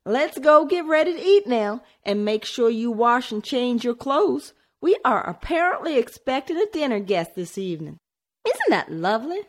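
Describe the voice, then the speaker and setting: A woman voicing an anxious wealthy mother who forces composure, her voice strained and shrill. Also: very animated, moderate pace. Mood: forced cheer. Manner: shrill and strained, sharp when scolding.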